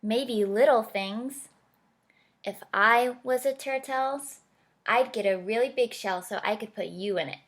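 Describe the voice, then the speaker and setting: A woman voicing a wise, kind male otter who gently reassures his friend. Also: on the high side, unhurried. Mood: reassuring.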